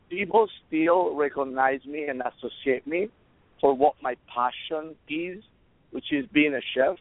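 Hoarse Italian man, guest on a call-in, dry tinny phone sound, rambling lists. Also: fairly high pitch, a little slow, no echo. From Italy. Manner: rambling, restarts, listing. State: hoarse, losing his voice. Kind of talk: interview answers.

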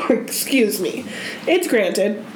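A woman with a congested cold voice co-hosting a casual true-crime podcast, chatty and quick to laugh. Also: mid-range voice, sing-song, a little hiss or hum, rough recording. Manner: chatty, laughs easily, sings a line. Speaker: podcast co-host.

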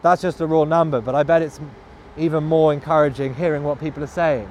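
Halting, thoughtful man on an outdoor walk. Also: fairly high pitch, steady tone, a little mellow, a little hiss or hum. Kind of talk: casual conversation. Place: walking outdoors by a cycle path in a city.

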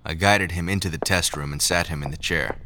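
A man narrating a scripted first-person audio drama as a scientist, in a measured storytelling manner. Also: low voice, pushed and bright, a little hiss or hum.

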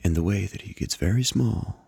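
Very deep-voiced man, casual and joking. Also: a little fast, creaky, warm tone, husky, no echo, clean studio sound. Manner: joking, playful, sometimes swoops his voice for effect. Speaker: podcast co-host. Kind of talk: casual podcast chat.

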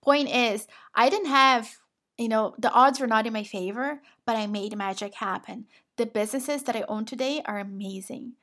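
A woman's voice: fairly high pitch, normal speed, fairly bright tone. A woman from Brazil with a Brazilian Portuguese accent, Portuguese her first language, hosting a motivational podcast in an encouraging, affectionate way. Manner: encouraging, affectionate, addresses listener as "my love". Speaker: podcast host and women's business coach.

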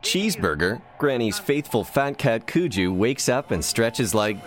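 A man's voice: mid-range voice, animated, measured pace, lightly acted. A man playing a wry, tongue-in-cheek narrator of scripted sci-fi comedy, with theatrical flair and conversational asides. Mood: wry storytelling. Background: music.

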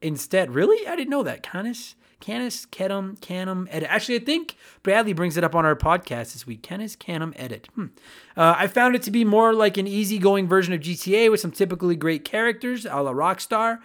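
A man with a high-pitched voice hosts a gaming podcast in relaxed, casual chat. He talks with eager enthusiasm and swears freely. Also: brisk. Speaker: podcast host.

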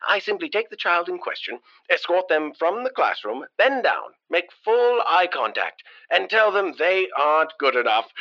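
An actor playing a pompous, smugly callous newspaper columnist of 1918 in a mannered vintage radio style. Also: male voice, high voice, bright, forceful tone, heavily acted. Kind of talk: vintage radio editorial acting. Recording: dull old-time radio sound.

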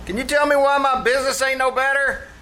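A man's voice: very high voice, steady tone, fast talker, bright, forceful tone, low-quality recording. A man in a radio comedy troupe playing a scheming tavern manager with a working-class dialect, given to mangling his words. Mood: exasperated. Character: scheming, malaprop-prone New York tavern manager. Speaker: actor in a stage troupe. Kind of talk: live old-time radio comedy acting. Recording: live theater recording with background noise.

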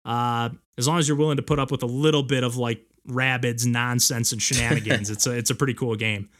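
A man co-hosting a casual gaming podcast speaks at a middling pitch. He rambles conversationally, looping back over his thoughts as he goes. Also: steady tone, fairly quick, fairly bright tone. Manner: rambling, frequent "like". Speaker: podcast host. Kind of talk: casual chat about video games.

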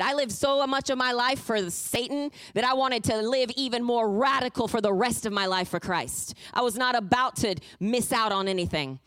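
A woman's voice: fairly high pitch, a bit bright, no room sound. A woman preaching a fervent sermon, moving between emphatic declarations and a personal testimony told as a story. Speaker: guest preacher.

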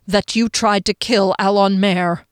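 A woman voicing a stern, cold young male healer in an audiobook, speaking in a clipped, forceful, pressing way. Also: medium pitch, fairly even intonation, a little slow, clear, resonant tone, no echo, studio quality, lightly acted. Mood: accusing. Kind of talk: audiobook character voice.

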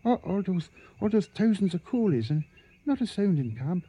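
An actor playing an anxious, fretful doctor on a lion hunt in a radio drama, stammering when afraid. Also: male voice, high-pitched, big pitch swings, normal speed, mellow, breathy edge, exaggerated voice. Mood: nervous.

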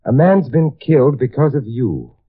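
An actor playing a suave, wry amateur detective in a 1950s radio drama, with an urbane, probing manner. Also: male voice, medium pitch, sing-song, normal speed, breathy edge. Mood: earnest, pressing. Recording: tinny band-limited vintage radio recording.

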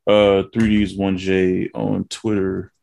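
A man co-hosting a podcast in casual chat, blunt and profane with drawn-out reactions. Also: deep voice, level tone, creaky, a little mellow. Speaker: podcast co-host.

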